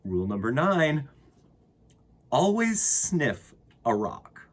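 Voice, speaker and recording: A man with a husky voice reading aloud to children as a storytime host, expressively, with dramatic emphasis and pauses. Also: mid-range voice, sing-song. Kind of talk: animated picture-book read-aloud for children.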